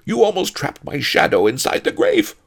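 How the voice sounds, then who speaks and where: Man acting sneering, jumpy, raspy henchman. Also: high-pitched, huge pitch swings, normal speed, pitched above the performer's own voice, full character voice. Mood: alarmed. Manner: hissing, sarcastic, skittish. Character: sneering, jumpy male grave-digging henchman.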